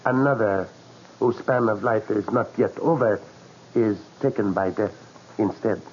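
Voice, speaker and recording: An actor playing a grave, superstitious curio seller in a 1940s radio mystery, speaking slowly and formally with halting phrases. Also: male voice, lowish voice, a little mellow, breathy edge. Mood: solemn, ominous. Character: grave, superstitious male curio seller guarding an ominous legend. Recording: dull, noisy old 1940s radio recording.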